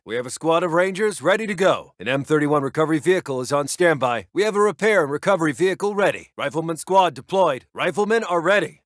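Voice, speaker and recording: An American military intelligence officer in a war strategy game. His voice sits a little high for a man and is recorded very dry. He reports in a clipped, dutiful, businesslike manner, like an official announcer addressing a commander. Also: a bit bright, no echo.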